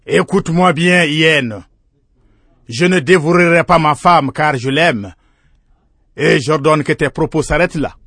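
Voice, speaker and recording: A man's voice: a little high, measured pace, fairly bright tone, dry recording, clean studio sound. A man voicing a proud, gullible lion king in a folktale, with an emphatic, majestic manner. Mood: stern.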